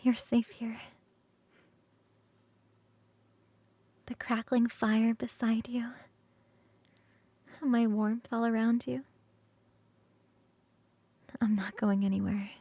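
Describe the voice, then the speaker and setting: Woman acting as ancient succubus queen, feminine higher voice, dry close sound, tender, teasing, soothing ASMR lover. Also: flat delivery, mellow, dry recording. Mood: soothing, loving. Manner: slow, intimate, soothing, often whispering. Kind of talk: ASMR roleplay.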